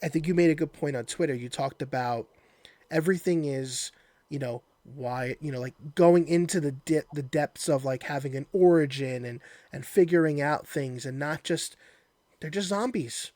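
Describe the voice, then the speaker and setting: Slightly high-voiced man, dry close sound. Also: moderate pace, a little mellow, dry recording. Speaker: podcast host. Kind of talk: casual interview chat.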